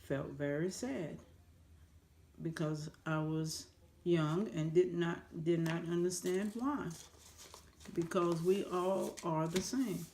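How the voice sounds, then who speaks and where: Slow, mellow low-voiced woman. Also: a little hiss or hum. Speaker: interviewee. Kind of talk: interview answers.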